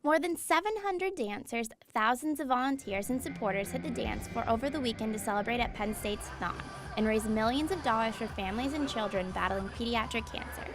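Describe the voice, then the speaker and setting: A woman's voice: on the high side, a little fast, hardly any pauses, music in the background. A young American woman reading scripted news narration as a reporter, on a noisy recording. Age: young adult.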